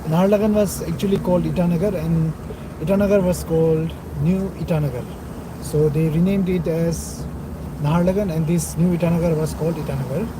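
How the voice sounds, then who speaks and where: Young man, husky and dry, casual drive-along vlogger, loud road noise under him. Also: on the high side, fairly even intonation, a little fast. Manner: rambling, self-correcting tour-guide commentary. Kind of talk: casual narrated drive vlog. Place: in a car on a city road at night. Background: traffic and engine noise.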